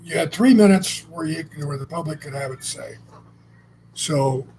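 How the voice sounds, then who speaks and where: A man co-hosting a relaxed chat, speaking in a fairly high register. He tells stories in a blunt, salty, meandering way and often restarts his sentences. A faint noise sits under his voice. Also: normal speed. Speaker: co-host. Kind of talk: casual conversational storytelling.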